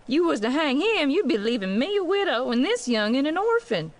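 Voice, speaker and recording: Blunt frontier wife, swooping voice. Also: female voice, high-pitched, big pitch swings, brisk. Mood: blunt, scolding. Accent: rural American West. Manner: folksy, blunt, sharp-tongued. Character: blunt, plain-spoken frontier wife, worn and scolding. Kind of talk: dramatized western audiobook acting.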